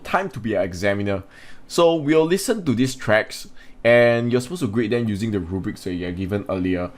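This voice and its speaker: A man speaks as an English teacher, his voice sitting on the low side. He explains and instructs in a spoken lesson, commenting on exam work point by point. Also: lively intonation, fairly quick.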